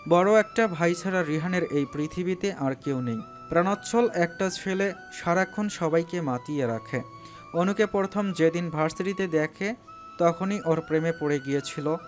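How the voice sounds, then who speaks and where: A man reading a scripted love story as a dramatic audio narrator. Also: on the high side.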